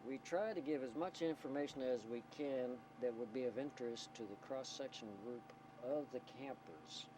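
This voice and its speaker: A man who runs a community radio station explains things informally in an interview, halting and choosing his words carefully, on a noisy old documentary recording. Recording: noisy 1990 documentary tape, vehicle or generator rumble.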